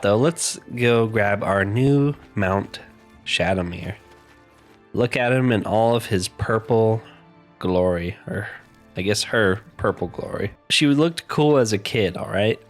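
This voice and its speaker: A congested man giving easygoing, chatty commentary while playing a video game. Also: lowish voice. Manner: easygoing, chatty, quick laughs. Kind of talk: casual let's-play commentary.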